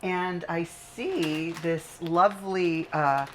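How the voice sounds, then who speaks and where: Lower-voiced Chilean-born woman, measured. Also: steady tone, fairly bright tone, slight background noise. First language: Spanish. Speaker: college president. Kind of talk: casual conversation.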